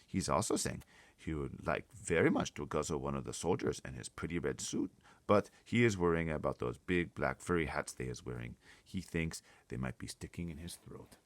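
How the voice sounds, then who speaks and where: A man doing a gentle, deep-voiced friendly giant. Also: lively intonation, no echo. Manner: slow, gentle, muddled grammar. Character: kindly, mournful giant with mangled grammar.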